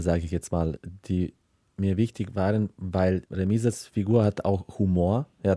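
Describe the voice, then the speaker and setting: Man, interview guest, low pitch, very soft dark timbre, hesitant, reflective, dry close studio sound. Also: dry recording. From former Yugoslavia. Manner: hesitant, searching for words, repeats words.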